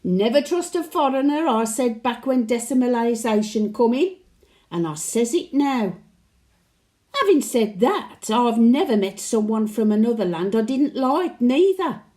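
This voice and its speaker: A woman's voice: on the high side, expressive, measured pace, mellow. A woman playing a chatty, sentimental elderly Birmingham widow with a Brummie accent, reminiscing in a folksy, plain-speaking monologue. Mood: matter-of-fact, opinionated. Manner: folksy dialect, wistful and chatty. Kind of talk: dramatic monologue, conversational reminiscence.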